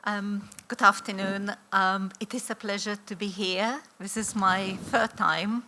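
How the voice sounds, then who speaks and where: A woman's voice: mid-range voice, fairly even intonation, measured pace, bright, forceful tone, slight background noise. A middle-aged woman with a Lithuanian accent giving a conference talk, hesitant with many fillers and restarts. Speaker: academic conference speaker. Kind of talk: conference presentation.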